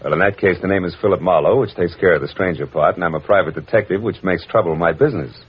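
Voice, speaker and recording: Low-voiced, wry American radio private eye. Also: male voice, fast, slightly rough. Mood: brisk, wisecracking. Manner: wry, wisecracking, clipped hard-boiled delivery. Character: wry, worldly male private detective narrating his cases. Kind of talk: 1940s-50s radio noir acting and first-person narration. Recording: muffled vintage radio recording with music.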